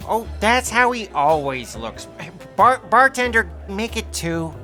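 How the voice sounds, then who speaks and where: An actress playing a flustered, weary assistant in an audio drama, sardonic and often stammering. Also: female voice, mid-range voice, sing-song, a little slow, pushed and bright, soft background music. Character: flustered, weary assistant who likes a drink.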